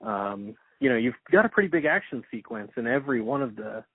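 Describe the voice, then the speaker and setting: A man's voice: mid-range voice, big pitch swings, brisk, creaky, husky, no room sound. A man conducting an interview in a casual conversational way, feeling his way into his questions. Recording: thin dry phone-like line.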